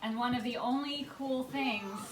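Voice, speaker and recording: A woman reading rhymed, scripted narration to a live audience as the presenter of a puppet show, in a storybook manner with deliberate pauses. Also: a little high. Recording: distant mic, echoey room, noisy live recording.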